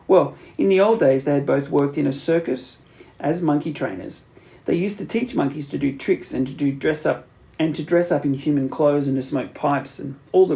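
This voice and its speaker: Gravelly male children's story narrator. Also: fairly high pitch, mellow. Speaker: audiobook narrator.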